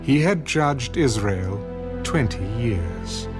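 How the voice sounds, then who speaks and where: A man's voice: medium pitch, animated, slow. A man narrating scripture as a scripted, dramatic audio production. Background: music.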